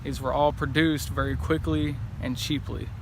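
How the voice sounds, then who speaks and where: A man's voice: on the high side, level tone, normal speed. A young man giving a scripted on-the-scene news report outdoors as a field reporter. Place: outdoors by a road and houses. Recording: noisy outdoor location audio.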